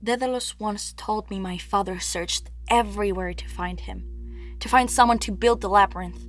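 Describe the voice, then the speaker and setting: An actress playing a wistful, proud young princess, telling her story in measured, poetic theatre monologue. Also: mid-range voice, lightly acted. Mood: reflective. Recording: music under the voice.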